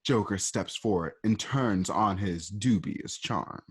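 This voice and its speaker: A man's voice: on the low side, slow, breathy edge. A man narrating the stage directions of an amateur radio drama in a plain, matter-of-fact way.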